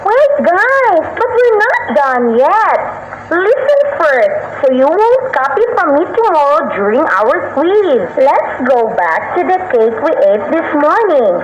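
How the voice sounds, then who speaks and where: A woman's voice: high voice, big pitch swings, unhurried, hardly any pauses, slight background noise, pitched above the performer's own voice. A Filipino woman playing a patient young pupil who carefully tutors classmates in an educational radio drama. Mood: insistent. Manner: careful, instructive, repeating key points. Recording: dull radio audio, echoey, music behind.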